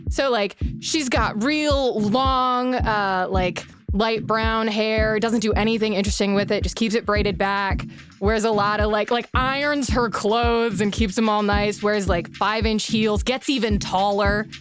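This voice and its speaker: A woman's voice: medium pitch, fairly even intonation, unhurried, over music. A woman talking casually and improvising as a player in a tabletop game, giggly and playfully smug. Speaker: tabletop role-playing player.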